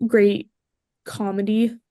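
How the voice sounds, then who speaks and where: A woman's voice: mid-range voice, little pitch movement, slow pace, dark tone, no echo, clean studio sound. Woman guest in casual podcast chat.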